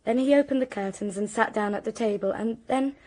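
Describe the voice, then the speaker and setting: An actress playing an anxious, articulate young British woman in a radio drama, with a precise, expressive delivery. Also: a little high, fairly quick, natural voice, low-quality recording. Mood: tense recounting. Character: anxious, articulate young niece recounting a crime.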